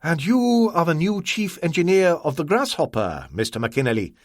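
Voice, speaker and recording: Storybook role: an icy, scornful merchant, deliberate and precise, voiced by the male narrator. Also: a little high, sing-song, no room sound, clean studio sound, pitched above the performer's own voice. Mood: cold. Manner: slow, clipped, coldly formal. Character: cold, contemptuous older male merchant, slow and formal.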